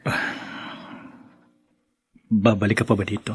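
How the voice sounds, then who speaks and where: A man's voice: fairly low pitch, flat delivery, measured pace, breathy edge, faint music behind. An actor playing a patient, lovestruck man in a radio drama, earnest and sincere. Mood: wistful. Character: lovestruck, patient male friend confessing love.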